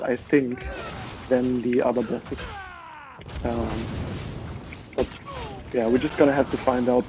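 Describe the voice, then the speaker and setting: A man's voice: mid-range voice, slight background noise, rough recording. German-accented man narrating his gameplay. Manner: relaxed, chatty, many filler words. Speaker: gamer. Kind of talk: casual gameplay commentary.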